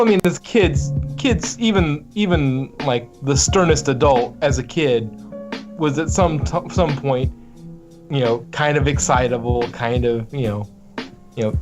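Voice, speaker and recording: A man speaking as a guest voice actor in casual podcast chat, thinking aloud in a halting, reflective way. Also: mid-range voice, lo-fi sound. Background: music.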